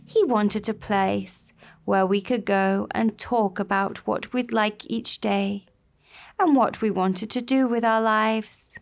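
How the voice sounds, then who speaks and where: A woman voicing a kindly grandmother who gently reminisces to her granddaughter in a storybook tale. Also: medium pitch, steady tone, takes it slowly, creaky. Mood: tender, reminiscing.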